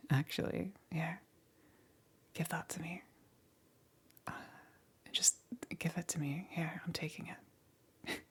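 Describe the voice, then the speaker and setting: A woman playing a smug, teasing demon queen in an ASMR roleplay, with a breathy, whispery voice and a taunting, seductive menace. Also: low voice, fairly even intonation, exaggerated voice. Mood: amused, curious. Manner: slow, taunting, punctuated by soft chuckles.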